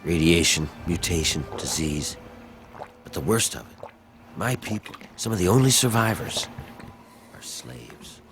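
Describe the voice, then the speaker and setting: A man's voice: sits low, very animated, unhurried, pauses often, fairly bright tone, exaggerated voice. An actor playing a weary, desperate rebel in a video game, pleading earnestly and dramatically for his enslaved people. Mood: grim, urgent. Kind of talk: video game dialogue acting. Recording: game audio with background music.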